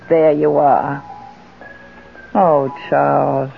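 A gushing, genteel, lonely widow. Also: female voice, deep voice, flat delivery, drawn-out words, creaky, soft background music, exaggerated voice. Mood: adoring, yearning. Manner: breathless, gushing, pleading, genteel. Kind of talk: 1970s radio drama acting. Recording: muffled old radio broadcast recording.

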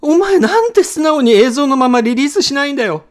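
A man's voice: very high-pitched, lively intonation, fairly quick, voice pushed up. A man playing an exasperated younger man making blunt, indignant retorts in a radio drama.

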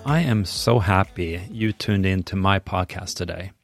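Man, Swedish accent, middle-aged, low voice, podcast host, earnest and measured. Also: soft background music. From Sweden. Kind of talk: scripted podcast monologue.

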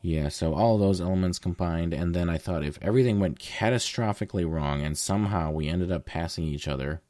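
A young man with a deep voice, casually telling a long story as a guest in a conversation, with fillers and restarts. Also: a little fast, darkish tone, no echo. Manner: rambling, with fillers.

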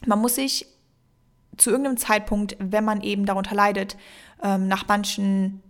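A woman's voice: mid-range voice, little pitch movement, normal speed. A young woman co-hosting a casual podcast, rambling through her thoughts with many fillers and the odd English word mixed in. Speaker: podcast co-host.

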